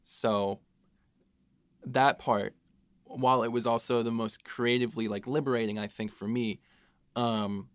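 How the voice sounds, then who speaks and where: A man's voice: medium pitch, steady tone, unhurried, long pauses, smooth, no echo. A man talking casually as a podcast host and writer, with a self-deprecating, joking manner. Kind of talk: casual panel discussion.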